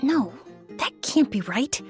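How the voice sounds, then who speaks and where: A woman's voice: high voice, sing-song, slow pace, a little mellow, faint music behind, exaggerated voice. An actress playing a composed, analytical young woman in a video game, polite, serious and formal. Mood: pensive.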